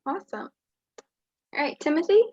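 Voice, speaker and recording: A woman's voice: sits high, expressive, moderate pace, warm tone. A woman hosting casual introductions on a group call. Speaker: meeting host. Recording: muffled video-call audio.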